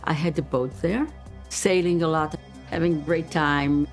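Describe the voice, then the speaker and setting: A woman's voice: low-pitched, fairly even intonation, normal speed, soft background music. A woman in her fifties whose first language is German, speaking reflectively in a documentary interview. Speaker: interviewee, former undercover agent.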